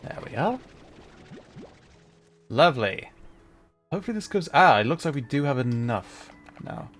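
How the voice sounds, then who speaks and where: A man hosting a casual gaming livestream, talking in a hesitant, measured way as he plays. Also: mid-range voice, very animated, fairly bright tone. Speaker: livestream host, gamer. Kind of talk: casual livestream chat while playing a video game. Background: music.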